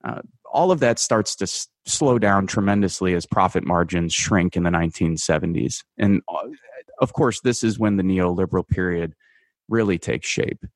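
A man in his thirties from the United States, a guest writer giving measured, analytical commentary in a radio interview, with frequent fillers and restarts. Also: deep voice, dry recording. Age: 30s.

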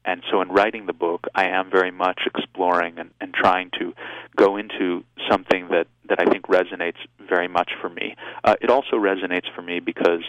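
A man born in Israel and raised in the United States, speaking, gives measured, reflective answers as an author in an interview, with frequent restarts and ums. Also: low-pitched, level tone, a bit bright, no room sound, rough recording.

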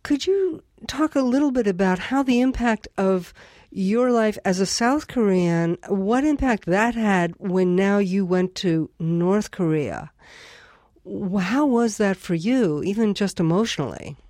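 A woman hosting a radio show, interviewing a guest in a measured, formal way. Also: mid-range voice, darkish tone, no room sound, studio quality.

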